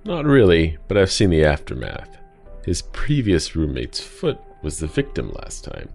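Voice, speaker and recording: A man playing a sinister, wry asylum inmate in an audio drama, calm and deadpan as he taunts. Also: a bass voice, measured pace, vocal fry, soft background music, full character voice.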